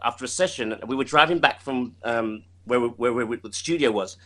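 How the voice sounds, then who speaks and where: A man's voice: mid-range voice, brisk, sharp tone. A man talking casually as an interview guest, rambling and repeating himself, laughing often. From United Kingdom.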